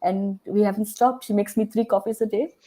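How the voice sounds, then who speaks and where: Chatty woman with a mellow voice. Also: mid-range voice, fairly even intonation, brisk. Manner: chatty, laughs often, mixes in Hindi words. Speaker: podcast host. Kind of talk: casual interview chat.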